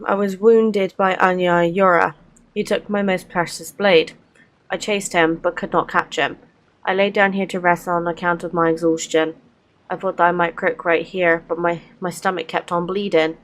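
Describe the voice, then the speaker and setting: A woman's voice: on the low side, flat delivery, moderate pace. A woman hosting a game playthrough, informal, reading on-screen dialogue aloud with some feeling. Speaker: gaming channel host. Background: game music.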